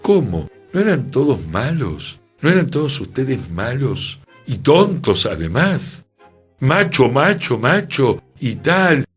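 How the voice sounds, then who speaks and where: Un hombre con acento rioplatense que opina en la radio de manera enfática y reflexiva, con preguntas retóricas. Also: male voice, medium pitch, very animated, slow pace, husky, faint music behind. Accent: Argentine Rioplatense Spanish. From Argentina. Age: middle-aged or older. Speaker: radio host. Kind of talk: opinionated radio commentary.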